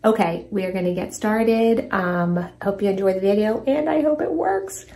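A woman in her forties chatting casually in an expressive, emphatic way, as a home cleaning vlogger. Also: medium pitch, moderate pace, creaky. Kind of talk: casual vlog chat and narration while cleaning. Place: small tiled bathroom, indoors. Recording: roomy bathroom echo, distant mic.

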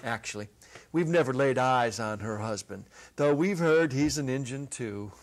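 A middle-aged man giving a dramatic literary reading, deliberate and theatrical, drawing out his words. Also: mid-range voice. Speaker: story reader. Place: indoor set with holiday decor. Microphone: clip-on lapel mic.

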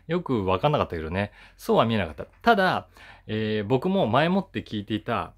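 Male, 40s, casual solo commentary, conversational and musing, very lively intonation. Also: mid-range voice. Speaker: voice actor hosting his own talk channel. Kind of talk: casual chatty commentary to camera.

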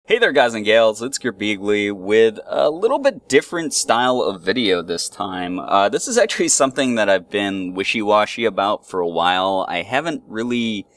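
A man's voice: lowish voice, expressive, moderate pace, few pauses, fairly bright tone, no room sound. A man talking casually as a video host, in a hesitant, self-deprecating manner. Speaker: YouTube host, amateur voice actor. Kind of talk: casual vlog talk.

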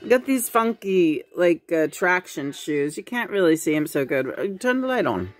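A woman's voice: on the low side, big pitch swings, moderate pace. A woman chatting casually and playfully in a home video, sometimes breaking into sing-song. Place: indoors at home. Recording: music playing in the room.

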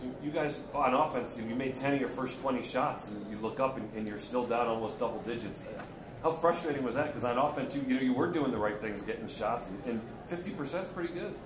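Man, reporter, quick questions, conversational, echoey room, background noise. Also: mid-range voice. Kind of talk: press conference questions.

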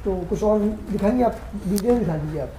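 A man's voice: sits high, animated, brisk, warm tone, a little hiss or hum, low-quality recording. A man hosting a farm video, asking questions on a walkthrough of a goat farm and dropping in short agreeing replies. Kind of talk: casual walkthrough interview. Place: outdoor goat farm pens.

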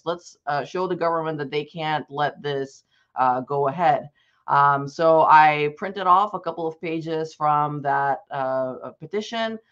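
A man's voice: on the high side, a bit bright. Earnest young man on muffled video call. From Bulgaria. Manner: earnest, conversational, many restarts and fillers. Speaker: advocacy specialist presenting to students. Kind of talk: personal storytelling presentation. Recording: dull lo-fi video call audio.

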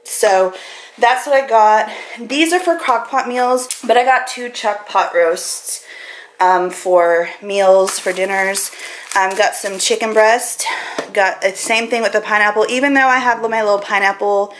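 A woman's voice: mid-range voice, measured pace, slight background noise, rough recording. A woman in her thirties with an American accent, chatting informally and rambling as she vlogs in her kitchen on a handheld camera. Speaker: vlogger. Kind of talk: casual grocery haul vlog chat. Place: home kitchen, indoors. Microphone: camera mic, handheld at arm's length.

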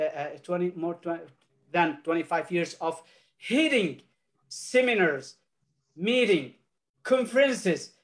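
An older man whose first language is Arabic speaks on a video call from a home office. He talks in a halting, earnest way, full of restarts and fillers, as a panelist giving his remarks. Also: high voice.

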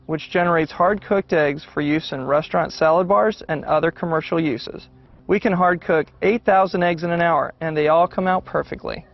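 A man's voice: fairly high pitch, vocal fry. A man in his forties, an egg farmer plainly explaining things to students in a slightly dull recording. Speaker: third-generation egg farmer.

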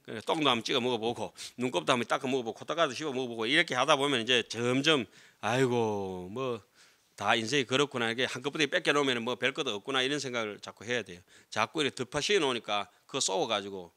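A middle-aged man, a Buddhist monk, lecturing on scripture to a class in a hall and speaking into a desk microphone. He is animated and keeps asking the students questions, then answering them himself. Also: mid-range voice, quick pace. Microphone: desk microphone on stand.

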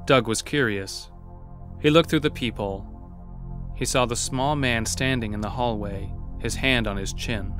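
A man narrating a scripted horror story. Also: medium pitch. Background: music.

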